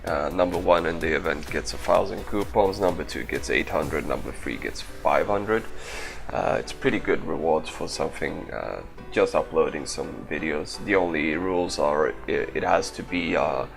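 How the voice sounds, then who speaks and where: A man with a very low voice commentates on gameplay in an offhand, casual way. His speech is full of gravelly fry, and music plays clearly behind him. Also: moderate pace. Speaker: gaming video creator and event organiser.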